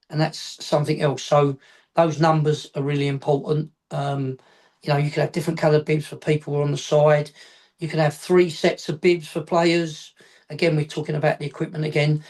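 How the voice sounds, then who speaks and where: A man's voice: on the high side, darkish tone, low-quality recording. A middle-aged man talks through coaching ideas in a rambling, explanatory way, circling back to add one more point. He is heard over a video call from his home office. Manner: rambling, repeats points, adds afterthoughts. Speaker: football coach educator, guest. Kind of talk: casual explanatory chat.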